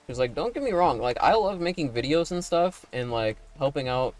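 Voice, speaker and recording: A man chatting casually on a livestream while playing a game, with a slightly raspy, deepened broadcast voice and a rambling manner full of likes and tag questions. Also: expressive, normal speed, soft background music, rough recording. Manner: talking in a put-on broadcast voice. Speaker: animator and streamer.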